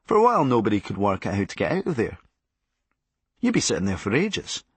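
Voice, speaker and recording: Husky, low-pitched Scottish man with animated delivery. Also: fairly quick, no room sound. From Scotland. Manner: sardonic, darkly comic, blunt. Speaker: comedian narrating a memoir audiobook. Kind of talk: scripted comic memoir narration.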